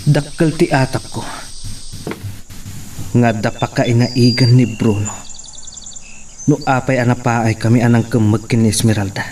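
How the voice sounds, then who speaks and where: A man's voice: mid-range voice, steady tone, moderate pace, long pauses, darkish tone, distant mic, slight background noise, close to an everyday voice. A man playing a mocking, grumbling household henchman in an Ilocano audio drama. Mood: grumbling, worried. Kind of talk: Ilocano radio-style audio drama acting.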